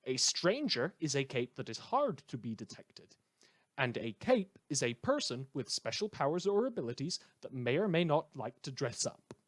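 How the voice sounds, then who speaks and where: Man acting as wry radio drama host, mid-pitched voice, measured delivery, deadpan, theatrical, scripted broadcast storytelling. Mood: dry, wry. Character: wry male community radio host telling eerie tales.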